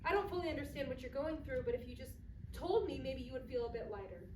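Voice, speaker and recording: A woman playing a calm, supportive confidant who speaks patiently and persuasively in a staged play reading. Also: high voice, fast talker. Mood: gently coaxing. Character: calm, supportive confidant Scott, coaxing gently. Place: performance space, recorded from afar. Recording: echoey distant stage recording, clear noise.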